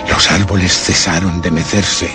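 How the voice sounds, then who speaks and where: A man's voice: low voice, steady tone, a little slow, music in the background. A man playing a brooding, sinister demon who narrates a horror radio play in a solemn, declamatory way. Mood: wrathful, grave.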